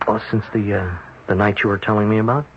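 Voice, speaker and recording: A man playing a careful, quiet-spoken hospital psychiatrist in an old radio drama, gentle and tentative. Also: fairly low pitch, little pitch movement, fast talker, lightly acted. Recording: dull, noisy vintage radio recording.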